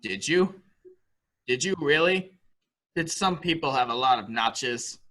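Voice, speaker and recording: A man's voice: mid-range voice, lively intonation, unhurried, a bit bright. A man playing a childlike, unstable grown man with a boyish, taunting eagerness in an amateur mystery drama. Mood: childish excitement. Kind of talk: amateur radio-play acting.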